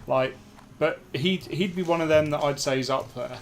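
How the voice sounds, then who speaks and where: A man's voice: fairly high pitch, moderate pace. A man in casual gaming banter, teasing, blunt and crude, swearing freely. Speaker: gamer co-host. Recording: music playing behind.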